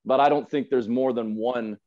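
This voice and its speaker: A man's voice: medium pitch, steady tone, moderate pace, darkish tone. A man in his forties giving opinionated sports talk as a podcast guest, emphatic and fond of repeating phrases for effect. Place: indoor room at home, video call. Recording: slightly dull video-call audio.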